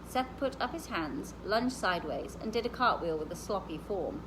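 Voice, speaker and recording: A woman reading a children's novel aloud in an expressive storytelling style. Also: mid-range voice, normal speed, noisy background.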